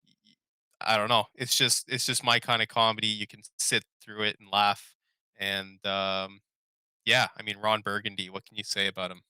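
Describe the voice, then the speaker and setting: A young man talks casually as a podcast co-host, with a very bright, punchy edge and a clean, smooth tone. His delivery is informal and loose, drifting as he hunts for words. The sound is dry, with hardly any room on it. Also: lowish voice, little pitch movement, normal speed, dry recording, rough recording. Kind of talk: casual chat about favorite movies.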